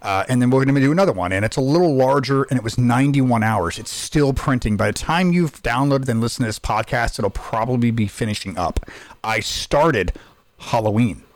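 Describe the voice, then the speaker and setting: A man co-hosting a podcast in casual chat, hoarse from losing his voice, rambling with frequent restarts. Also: medium pitch, fairly quick, dry recording. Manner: rambling, lots of restarts and fillers. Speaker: podcast co-host.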